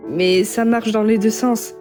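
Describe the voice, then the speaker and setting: A woman's voice: fairly high pitch, steady tone, a little slow, lightly acted. A woman playing a caring, persistent teenage schoolgirl in an amateur webtoon dub, casual and earnest. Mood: earnest, hurt. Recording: voice under clearly audible music.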